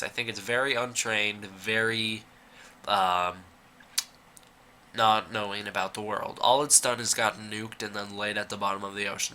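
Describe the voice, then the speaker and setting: A young man with a very bright, sharp voice rambles through his opinions in a casual video commentary. He is fighting a cold and fills his sentences with "uh" and "like". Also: on the low side. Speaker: YouTuber.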